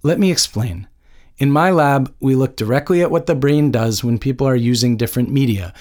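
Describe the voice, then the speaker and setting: A man's voice: mid-range voice, a little fast, a little mellow, lightly acted. A man voicing an indignant, combative woman scientist in a story, blunt, exasperated and emphatic. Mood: measured.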